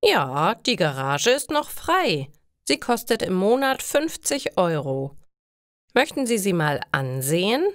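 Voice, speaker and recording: A woman's voice: medium pitch, sing-song, slow pace, no room sound, clean studio sound. A woman voicing a nice, easygoing male garage owner with friendly, emphatic storybook delivery.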